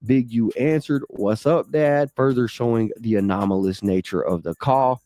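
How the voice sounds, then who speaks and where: A man reading a legal document aloud in a steady, measured way, adding brief comments of his own. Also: medium pitch, dry recording, clean studio sound.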